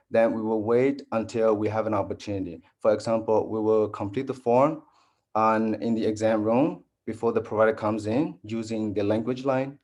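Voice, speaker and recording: A man from Burma whose first language is Po Karen, speaking as a dental health navigator on a panel, halting with many restarts. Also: on the low side, a little mellow, dull audio. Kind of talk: panel discussion.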